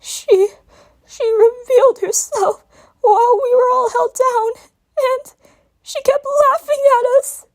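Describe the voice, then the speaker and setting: Woman voicing a sobbing, shrill little boy dragon. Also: steady tone, takes it slowly, full character voice. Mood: sobbing, panicked. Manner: whimpering, sobbing, stammering through cracking voice. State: crying. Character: distraught young male dragon confessing a nightmare. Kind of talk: dramatic reading of fan fiction.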